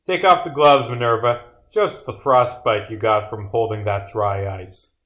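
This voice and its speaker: A man playing a stern detective in a visual novel, deliberate and emphatic as he accuses. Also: lowish voice, steady tone, a bit bright. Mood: accusing.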